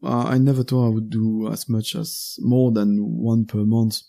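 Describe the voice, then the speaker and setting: A man in his thirties with a Belgian French accent, a native French speaker chatting in English as a podcast guest, pausing often to search for words. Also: on the low side, flat delivery, vocal fry, warm tone. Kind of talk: casual conversational interview.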